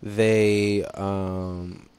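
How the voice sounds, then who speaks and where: A man's voice: sits low, flat delivery, extremely slow, a little mellow, clear, resonant tone. A young man hosting his own show alone, talking loosely and profanely in joking rants into a handheld mic, seated in a small studio set. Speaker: podcast host. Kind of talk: casual solo monologue. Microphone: handheld microphone.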